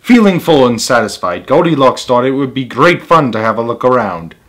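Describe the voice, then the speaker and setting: A man's voice: medium pitch, big pitch swings, normal speed, a bit bright. A man reading a children's story aloud as its host, with emphatic delivery and casual asides.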